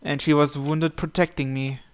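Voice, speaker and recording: Higher-pitched man reading a visual novel. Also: flat delivery, normal speed, clean tone. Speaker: host of a visual novel playthrough series.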